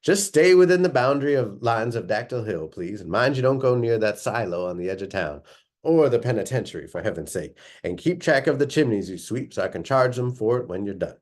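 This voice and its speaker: A man voicing a brisk, motherly woman who gives folksy, bossy instructions in a storybook reading. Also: mid-range voice, lively intonation, darkish tone, lightly acted. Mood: motherly, instructing.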